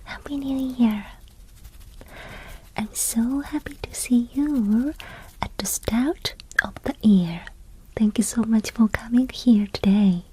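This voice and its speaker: A woman with a Japanese accent giving a soft-spoken language lesson in a breathy whisper, in short, simple, gentle phrases. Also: fairly high pitch, slow, poor audio.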